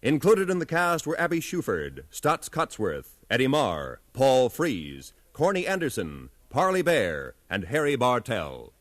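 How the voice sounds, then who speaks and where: Animated man announcing on 1950s radio. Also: medium pitch, unhurried, hardly any pauses, no echo, clean studio sound. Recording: 1950s radio broadcast recording.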